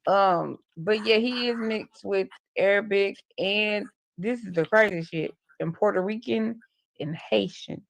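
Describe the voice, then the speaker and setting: 40s woman on a live stream, raspy fry in her voice, rambling and cussing casually. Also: mid-range voice, a little slow. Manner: loose, profane, rambling storytelling. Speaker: livestream host.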